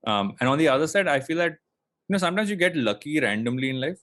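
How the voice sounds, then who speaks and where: A man's voice: on the high side, sing-song, fast talker, a bit bright, no echo, studio quality. A man in his late thirties from India, a podcast guest talking in a reflective, rambling way with lots of fillers and restarts. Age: late 30s. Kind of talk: casual interview chat.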